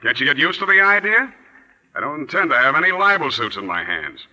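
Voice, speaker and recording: A man's voice: fairly high pitch, very animated, a little fast, bright, forceful tone, highs cut off, exaggerated voice. An actor playing a smug, needling newspaper editor who gloats over his rival in a 1940s radio drama. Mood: smug triumph. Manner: needling, mock-soothing. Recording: old 1940s radio broadcast recording.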